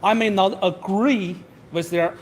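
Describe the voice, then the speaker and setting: A young man from abroad, answering a campus street interview with halting, earnest speech full of restarts. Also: sits high, fairly even intonation. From outside Canada.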